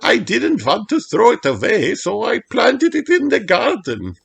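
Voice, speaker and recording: A man's voice: high-pitched, huge pitch swings, unhurried, vocal fry, a bit bright, slightly rough, soft background music, pitched above the performer's own voice, exaggerated voice. A man voicing a gracious older female gazelle teacher in a children's storybook, lilting and welcoming. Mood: explaining.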